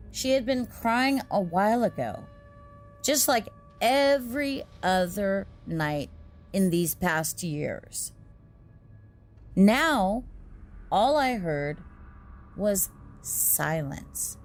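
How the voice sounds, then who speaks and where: Lady reading as grieving confused husband in a horror story, real slow n solemn, music behind. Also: mid-range voice. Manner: halting, solemn. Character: grieving, bewildered husband narrating a horror tale.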